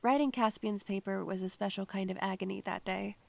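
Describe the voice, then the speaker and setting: Actress as melancholy student girl, heavy vocal fry, mid pitch, introspective, measured audio drama delivery. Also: steady tone, dry recording. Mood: wistful, brooding. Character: melancholy, introspective young female student who hears spirits. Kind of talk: audio drama narration and acting.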